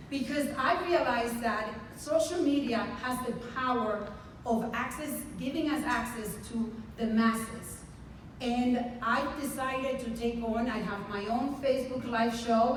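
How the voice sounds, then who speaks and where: A woman's voice: on the high side, slight background noise. A woman, speaking earnestly and proudly as a medical center CEO on a conference panel. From Dominican Republic. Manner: earnest and heartfelt, speaks with pride. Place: large conference hall. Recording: very echoey hall from far off.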